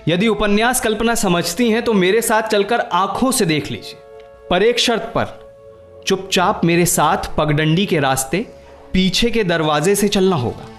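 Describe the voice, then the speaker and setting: A man's voice: high-pitched, unhurried, soft background music. An actor in a Hindi radio play playing a sly young man who goads and insists theatrically. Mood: insistent, persuading. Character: sly, goading young man exposing a rival's secret.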